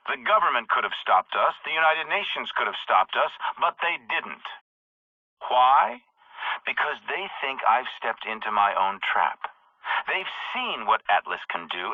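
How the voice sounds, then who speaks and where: An actor playing a ruthless, calculating head of a private military company, recording a commanding, measured monologue for a game. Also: male voice, medium pitch, vocal fry, dry recording, heavily acted. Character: ruthless, calculating older male military CEO. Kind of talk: recorded audio log monologue. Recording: dry, phone-like narrow audio.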